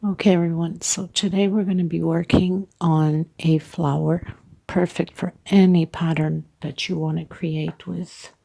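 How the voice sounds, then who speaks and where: Stuffed-up woman with a very gravelly voice. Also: lowish voice, level tone, measured pace, dark tone. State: congested, stuffed-up nose. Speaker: host of crochet tutorials. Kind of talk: casual spoken tutorial.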